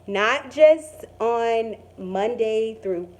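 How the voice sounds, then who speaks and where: A woman teacher reading a story aloud to young children in an expressive, dramatic and emphatic way. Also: a little high, extremely slow.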